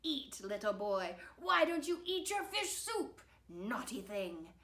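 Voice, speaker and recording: A wicked fairy performed by a woman, bossy and gloating, scolding in a drawn-out storybook voice. The sound has a roomy echo. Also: on the high side, very animated, a bit bright, exaggerated voice. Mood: bossy, insistent. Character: wicked female fairy, gleefully bossy captor of children.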